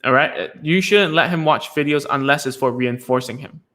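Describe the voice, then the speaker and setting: A man's voice: a little high, normal speed, pushed and bright. A man hosting a casual live stream Q&A as a speech therapist, talking loosely with many fillers and restarts.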